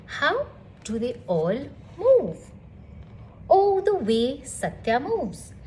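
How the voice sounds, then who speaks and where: Animated, high-pitched woman reading a children's story. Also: extremely slow, slight background noise. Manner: animated, addresses child listeners with questions. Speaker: storyteller reading a picture book aloud. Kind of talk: expressive children's read-aloud.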